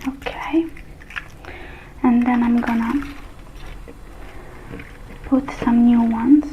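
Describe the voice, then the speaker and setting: Slow, whispering woman in an ASMR roleplay. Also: on the high side, phone-quality sound, slight background noise. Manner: soft, mostly whispered, unhurried murmuring with pauses. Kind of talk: whispered ASMR roleplay as a technician repairing a robot.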